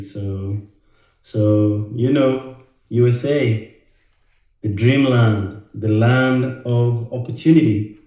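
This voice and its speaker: Man, slow halting talk, lots of fillers, mellow voice, very echoey room. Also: medium pitch. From Rwanda. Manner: halting, with many fillers and repeated words. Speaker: financial educator. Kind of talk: casual direct-to-camera talk.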